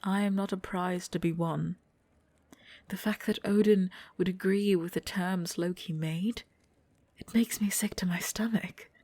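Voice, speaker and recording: A woman's voice: fairly low pitch, steady tone, measured pace, darkish tone, no room sound. A woman playing a proud, passionate Norse goddess in an ASMR roleplay audio drama. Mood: angry, indignant.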